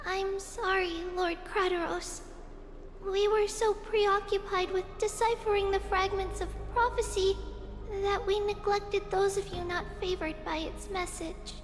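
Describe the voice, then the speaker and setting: A woman's voice: very high voice, steady tone, a little slow. A woman voicing a tiny, earnest, kind girl in a video game, childlike and pleading. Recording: long hall reverb under loud music.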